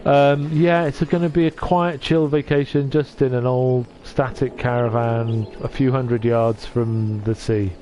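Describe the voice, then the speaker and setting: A man from North Wales streaming from his sofa at home. He chats gently with his viewers and often stops and restarts. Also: medium pitch, fairly even intonation, measured pace, few pauses. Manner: gentle, hesitant, with many restarts. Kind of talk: casual chat reading out viewer comments.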